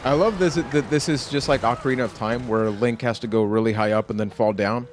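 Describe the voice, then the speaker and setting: A man doing live let's play commentary as a gaming streamer, chatting casually with animated, exclamatory reactions. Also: mid-range voice, fairly quick. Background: faint game music and sounds.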